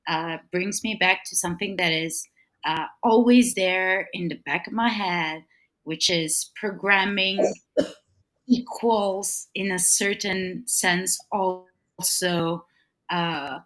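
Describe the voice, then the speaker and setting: A woman guest talks through her thoughts on film programming. She stumbles and restarts often, repeating words as she searches for them, in a somewhat low voice. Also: slow, a bit bright. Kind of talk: casual panel discussion.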